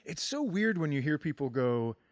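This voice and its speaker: A man's voice: fairly high pitch, big pitch swings, normal speed, no room sound. Dry-humored man, close dry podcast sound. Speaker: podcast host, comedian. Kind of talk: casual chat, comic anecdotes.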